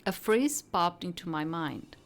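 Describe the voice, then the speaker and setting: A woman's voice: lowish voice, lively intonation, measured pace. A woman reading a visual novel aloud as a let's play, informal, with hesitant casual asides. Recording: game music behind the voice.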